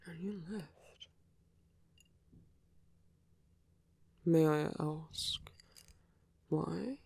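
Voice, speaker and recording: A man playing a teasing yet tender villain in an intimate ASMR roleplay, speaking in hushed, unhurried phrases with creaky dips toward a whisper. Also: a little high, full character voice. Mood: amused, teasing. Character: smooth teasing male villain, tender toward his enemy.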